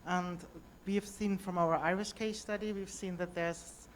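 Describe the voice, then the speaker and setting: A woman law professor in a seminar audience, not speaking her first language, asking a brisk, assertive question. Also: lowish voice, fairly even intonation, a little hiss or hum. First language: not English. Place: university seminar room.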